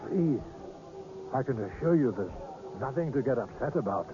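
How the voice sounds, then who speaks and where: A man's voice: medium pitch, lively intonation, moderate pace, close to an everyday voice. Actor as genteel old mystery man, lilting delivery. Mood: apologetic, assuring. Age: older. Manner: genteel, hesitant, precise, softly knowing. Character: polite, mysterious old scout of seers. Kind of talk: radio drama acting. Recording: dull, noisy old radio broadcast.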